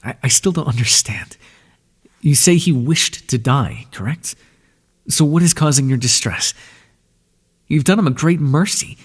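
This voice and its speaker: A man voicing an ancient god of the underworld in an ASMR roleplay, speaking in a soft, breathy, measured way and reassuring the listener tenderly. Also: fairly high pitch, animated, in a large hall. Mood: gentle, soothing.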